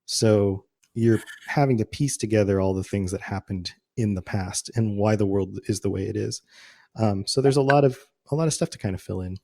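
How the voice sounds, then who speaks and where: A man's voice: fairly low pitch, moderate pace, vocal fry, a little mellow. A man hosting a podcast, explaining video game lore in a casual, conversational way. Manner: conversational, explanatory, quotes imagined reactions. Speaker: podcast host.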